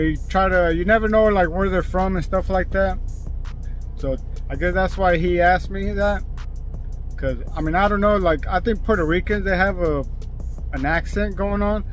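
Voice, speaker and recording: A man with Mexican roots vlogging casually about his trucking runs, rambling with lots of fillers and restarts. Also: fairly high pitch. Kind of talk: casual vlog chat. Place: in and around his truck, on the road.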